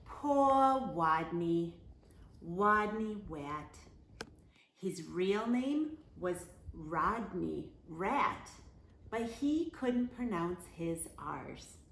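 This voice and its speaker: A woman reading a children's picture book aloud in a deliberate, expressive storyteller's manner. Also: on the low side, reverberant, slight background noise. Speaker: story time reader.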